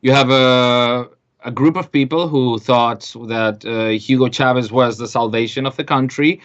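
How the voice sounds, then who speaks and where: A man's voice: medium pitch, a little slow, muffled recording. Frank man. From Venezuela. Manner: earnest, frank, with fillers and restarts. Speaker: guest, music producer and DJ. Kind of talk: interview answers and profile voice-over.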